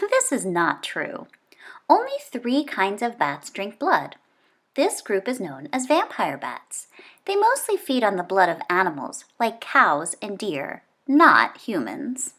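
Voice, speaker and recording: A woman reading a children's nonfiction book aloud, expressively and with clear phrasing for young listeners. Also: mid-range voice, huge pitch swings, takes it slowly, a bit bright.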